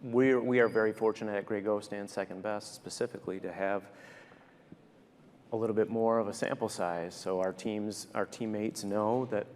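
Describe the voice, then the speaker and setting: A man's voice: on the low side, fairly even intonation, normal speed, darkish tone. An American man in his thirties or forties answering conversationally as a panelist, wry and understated, on a lapel mic on a large stage. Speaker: panelist, restaurant operations director. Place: large indoor conference stage. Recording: echoey auditorium, faint background noise.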